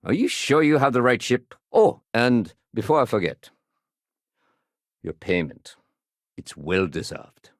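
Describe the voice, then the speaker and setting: A man playing a dutiful, fair-minded security sergeant in a video game, speaking in an earnest, measured, official way. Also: mid-range voice, big pitch swings, no room sound, clean studio sound. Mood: calm, businesslike. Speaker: game voice actor. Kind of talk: video game dialogue acting.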